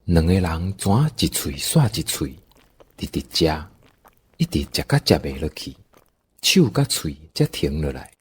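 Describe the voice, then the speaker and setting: Low husky Taiwanese man telling a story. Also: very animated, a little slow. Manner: folksy, dramatic. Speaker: narrator. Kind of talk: expressive scripted storytelling for audio drama.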